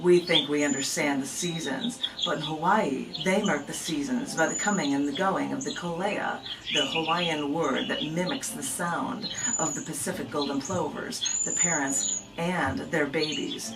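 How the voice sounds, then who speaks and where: Woman poet reading and chatting on radio, lowish voice, recorded far from the mic with noise. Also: normal speed, hardly any pauses. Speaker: poet, interview guest. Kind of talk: poetry reading and casual radio chat. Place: radio studio, recorded on a camera. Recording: noisy, distant camera recording in radio studio.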